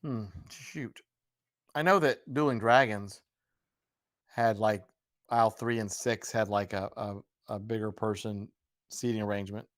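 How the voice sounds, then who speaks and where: Raspy, low-voiced man chatting casually. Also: steady tone, measured pace, no echo, clean studio sound. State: hoarse from talking all day, clearing throat. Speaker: livestream co-host. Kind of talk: casual banter.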